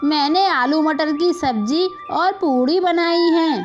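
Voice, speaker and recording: A woman playing a fretful, doting village mother in an animated moral story. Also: sits high, slow pace. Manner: complaining, fussing. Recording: muffled lo-fi audio with music.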